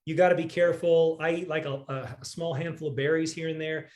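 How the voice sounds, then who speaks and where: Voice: mid-range voice, steady tone, fairly quick, darkish tone. A host of a community call who keeps encouraging and affirming the guest, chatting casually over a video call.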